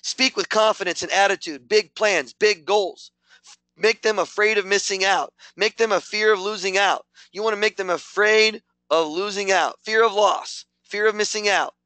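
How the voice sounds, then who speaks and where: Man, high bright voice, punchy and repetitive, drilling a sales team, dry close sound. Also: moderate pace, dry recording. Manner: punchy, repetitive, hyped coaching. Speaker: network marketing team leader. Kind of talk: motivational training talk.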